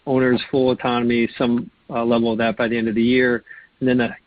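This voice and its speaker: A man's voice: mid-range voice, completely flat delivery, fast talker. A man speaking as an analyst, putting questions to executives on an earnings call. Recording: slightly dull conference phone line.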